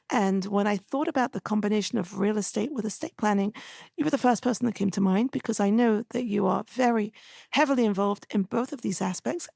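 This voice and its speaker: A woman hosting a podcast interview, hoarse from losing her voice, steering the talk with guided questions. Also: mid-range voice, darkish tone, dry recording, clean studio sound. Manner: conversational, asks guided questions. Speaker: podcast host.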